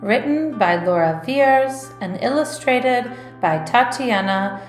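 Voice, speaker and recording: High-pitched, slow-talking woman music teacher. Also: animated. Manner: clear, instructive, addresses young listeners directly. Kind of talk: children's music lesson and read-aloud narration.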